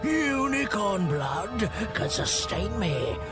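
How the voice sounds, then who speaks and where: A man voicing a cold, menacing, parasitic dark wizard in a video game, with a breathy, hissing voice that turns harsh. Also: high voice, sing-song, slow pace, heavily acted. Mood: sinister, menacing. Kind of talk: video game villain voice acting. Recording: loud dramatic music behind.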